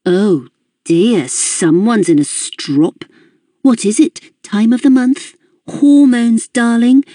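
A woman's voice: on the high side, slow pace, warm tone, no echo, studio quality, voice pushed up. A woman voicing a manipulative middle-aged mother, condescending and mocking in a lilting, sweetly cruel tone. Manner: mocking, condescending, sing-song.

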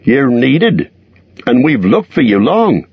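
A man's voice: mid-range voice, sing-song, slow pace, husky, no echo, pitched above the performer's own voice, full character voice. A man playing an earnest, swift rescuer. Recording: dull lo-fi recording.

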